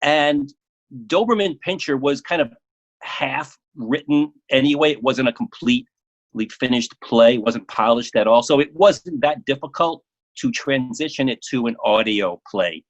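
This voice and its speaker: A man speaking casually as an interview guest about his work, often halting and repeating words as he goes. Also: medium pitch, dull audio.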